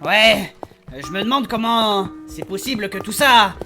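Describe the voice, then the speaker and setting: A man's voice: sits high, lively intonation, moderate pace, music in the background. A man playing a crude, impatient, easily panicked adventurer who swears and hesitates in a comedic fantasy audio drama. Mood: hesitant agreement.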